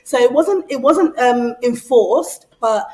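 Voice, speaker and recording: A woman's voice: fairly high pitch, animated, takes it slowly, a little mellow. A woman raised in London, talking about her upbringing as an interview guest, chatty with frequent fillers and easy laughter. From Nigeria, raised in London. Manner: chatty, lots of fillers and restarts, laughs easily. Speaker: guest, beauty brand strategist. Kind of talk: casual interview conversation.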